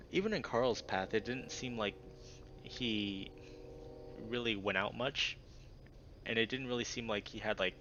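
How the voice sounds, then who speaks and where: A man's voice: lowish voice, fairly bright tone, dull audio, slight background noise. A man chatting casually on a livestream while reading a visual novel aloud, in an informal way full of "like". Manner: informal, frequent filler "like". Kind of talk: casual livestream chat and read-aloud commentary.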